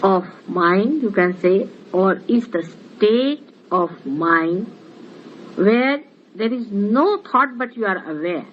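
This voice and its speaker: A woman whose first language is Marathi, speaking English with an Indian accent as she gives a spiritual lecture. She talks in a measured, earnest way, often adding "you see.". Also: medium pitch, expressive, muffled recording, slight background noise. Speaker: spiritual teacher.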